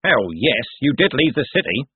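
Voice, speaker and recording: A man voicing a gruff, blunt city watch commander in an audiobook, speaking curtly and with authority. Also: medium pitch, big pitch swings, normal speed, no room sound, voice pushed up. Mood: stern, commanding. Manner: curt, authoritative. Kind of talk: audiobook character voice. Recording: thin phone-like narrow-band audio.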